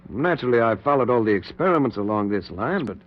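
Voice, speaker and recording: An actor playing a cautious, skeptical middle-aged surgeon in a 1940s radio drama, hesitant and measured. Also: male voice, medium pitch, animated, lightly acted. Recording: dull 1940s radio recording.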